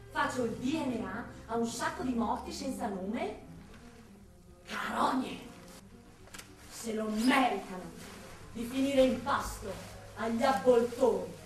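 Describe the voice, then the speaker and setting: An actress playing a cynical, foul-mouthed female forensic investigator in a theatrical radio drama, blunt and sardonic. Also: on the high side. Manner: sardonic, blunt, swinging from muttering to outbursts. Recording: echoey, distant stage sound with music.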